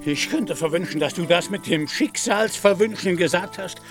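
A man's voice: sits high, normal speed, over music, close to an everyday voice. A man playing a pompous, deluded knight-errant in a radio play, speaking in a grandiose, solemn, declamatory way. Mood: lofty, solemn. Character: Don Quijote, pompous deluded knight-errant, lofty and devoted. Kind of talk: German radio play acting.

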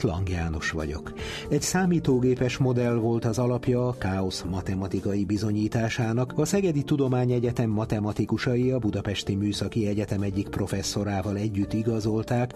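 A male radio presenter with a fairly low, soft-edged, dark voice. He delivers scripted links and puts questions to guests, with faint music in the recording. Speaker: radio host and interviewer.

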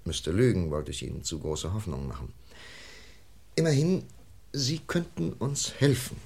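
An actor playing a calm, reassuring police inspector in a German radio crime play, measured and methodical. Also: male voice, deep voice, creaky, husky, heavily acted. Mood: measured, explaining.

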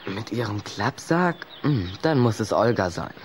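A man's voice: fairly low pitch, sing-song, measured pace, a little hiss or hum. A man playing a sceptical, teasing schoolboy with a questioning, hesitant manner in a children's radio play. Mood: puzzled.

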